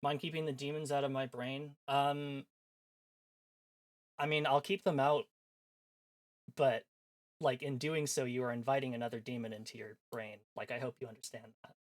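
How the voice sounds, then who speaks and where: A man's voice: mid-range voice, little pitch movement, moderate pace, pauses often. A man chatting casually as a livestream host, sarcastic and self-deprecating, with some swearing. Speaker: live streamer hosting visual novel previews. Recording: voice over background music.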